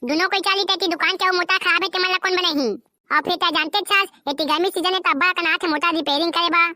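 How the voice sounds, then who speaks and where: A performer voicing a brash, scheming cartoon boy shopkeeper in a squeaky, artificial voice with a Chhattisgarhi accent. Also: fairly even intonation, fast, sharp tone, no room sound, clean studio sound, full character voice. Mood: scolding. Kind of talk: comedy cartoon dub in Chhattisgarhi.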